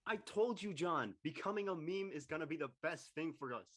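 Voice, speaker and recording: A man's voice: fairly high pitch, lively intonation, brisk, a bit bright. A man playing an upbeat, laid-back teenage boy, a casual, encouraging best friend in a comedy drama. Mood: excited. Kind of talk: comedic scripted audio drama dialogue.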